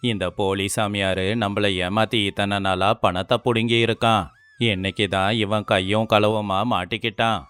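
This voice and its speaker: Man playing indignant villager, low clear voice. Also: little pitch movement, normal speed, a bit bright, dry recording, natural voice. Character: indignant village men exposing a fraudulent holy man. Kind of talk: dramatised moral-story dialogue.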